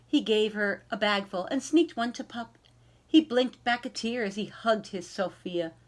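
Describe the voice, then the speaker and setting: A woman reading a children's picture book aloud in an expressive storytelling style, addressing young listeners directly. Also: mid-range voice. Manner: animated, pausing to ask young listeners questions.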